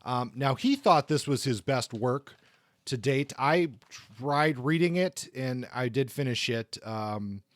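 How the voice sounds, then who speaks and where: A man's voice: mid-range voice, slow pace, dry recording, clean studio sound. A man co-hosting a podcast, talking through a literary biography from notes in an informal, conversational way. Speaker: podcast co-host. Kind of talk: semi-scripted literary biography talk.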